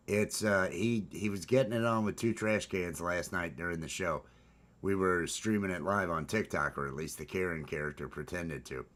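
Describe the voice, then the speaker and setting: A man with a baritone voice hosts a casual morning chat, rambling with restarts and fillers. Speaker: host.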